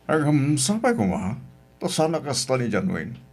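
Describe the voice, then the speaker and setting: Middle-aged father, medium pitch, protective, cautious, admonishing, radio drama style. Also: wildly swooping pitch, moderate pace, darkish tone. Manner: admonishing, paternal. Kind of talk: Ilocano radio drama acting.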